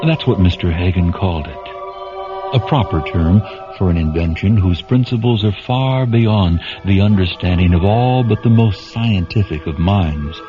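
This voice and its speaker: An actor playing the solemn storyteller of a science fiction radio drama, narrating in a measured, literary and dramatic manner. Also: male voice, sits low. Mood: solemn, wondering. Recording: dull lo-fi radio recording, music bed.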